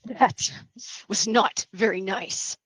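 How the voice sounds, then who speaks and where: A woman playing a mad, taunting young female killer in a horror table read, mocking and drawn-out. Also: mid-range voice, a bit bright, slightly rough, dry recording, poor audio. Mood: amused. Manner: mocking, drawn-out, punctuated with laughter.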